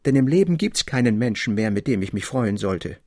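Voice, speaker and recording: A man's voice: on the low side, animated, brisk, a little mellow, dry recording, studio quality. A man voicing a melancholy, brooding young sailor in a storybook reading, withdrawn and gloomy. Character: melancholy brooding twenty-year-old sailor, weary of life. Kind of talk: audiobook character acting.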